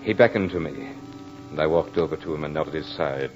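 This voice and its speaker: A muffled old radio recording with music carries a proud soldier and duelist. His voice is low, his delivery florid and theatrical, in the grand manner of a mid-century horror broadcast. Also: brisk. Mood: brooding, remorseful. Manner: theatrical, formal, florid diction. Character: proud battle-hardened soldier duelist, remorseful as he narrates. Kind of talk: 1940s-50s radio horror drama acting.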